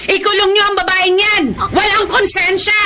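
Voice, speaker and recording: Very high, bright, outspoken Filipina customer. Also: female voice, moderate pace, a little hiss or hum. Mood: outraged, accusing. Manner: emphatic, expressive. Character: outspoken woman neighbourhood customer, quick to judge. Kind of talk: Tagalog radio drama acting.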